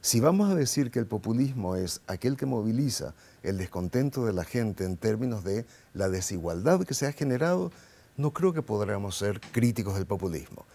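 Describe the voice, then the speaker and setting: Impassioned man with a mellow voice. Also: lowish voice, expressive, moderate pace, creaky. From Paraguay. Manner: impassioned, rhetorical questions. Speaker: guest. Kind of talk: interview, political commentary.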